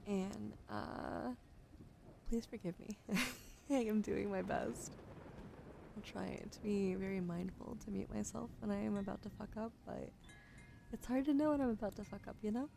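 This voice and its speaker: A woman streaming ASMR, whispering softly to her chat and slowly spelling out follower names, now and then swearing. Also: mid-range voice, vocal fry, noisy background. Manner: slow and gentle, swears and laughs in between. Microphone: studio mic.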